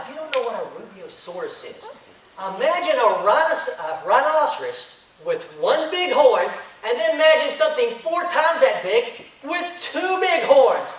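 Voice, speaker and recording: A man telling a tall tale as a contest speaker, acting out the action with big swings in his voice. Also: extremely high pitch. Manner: animated storyteller, acting out the action. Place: hotel conference room. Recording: echoey room, recorded from far off.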